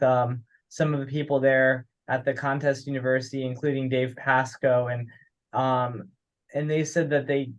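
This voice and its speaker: A man talking casually and haltingly in a teleconference, thinking aloud as he discusses things. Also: mid-range voice. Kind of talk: casual conference call discussion. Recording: dull, lo-fi teleconference audio.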